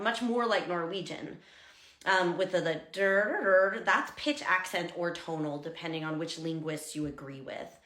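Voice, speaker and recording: A woman's voice: lowish voice, pushed and bright, reverberant. A chatty American woman and language teacher, explaining things casually and exaggerating sounds to demonstrate them. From United States. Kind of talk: casual talking-head explainer.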